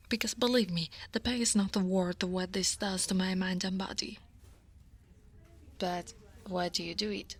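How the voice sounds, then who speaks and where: A woman from Italy, speaking with an Italian accent, voicing a weary and guarded female dragon office coworker. She talks in a soft, tired half-whisper close to the mic in an ASMR roleplay. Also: mid-range voice, full character voice. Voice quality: breathy.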